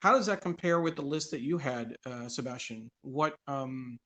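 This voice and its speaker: A man hosting a casual interview show, conversational and halting as he asks questions and reads things out. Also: medium pitch, fairly bright tone. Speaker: guest host of a live read-along show. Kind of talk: casual interview, reading a list aloud with filler pauses.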